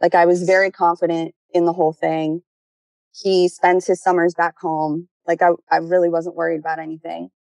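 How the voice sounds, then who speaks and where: A woman's voice: on the low side, flat delivery, normal speed, creaky, darkish tone, no room sound. A young adult woman calling in for advice, giving a casual, conversational account of her personal life. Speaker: caller seeking relationship advice.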